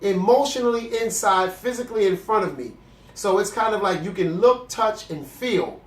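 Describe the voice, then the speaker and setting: Young male host, congested, earnest and preachy, higher voice, roomy echo. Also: animated, normal speed. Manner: earnest, preacherly, with frequent restarts. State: congested, with a cough. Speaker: host of a celibacy video series. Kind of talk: candid faith talk to camera. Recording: echoey room.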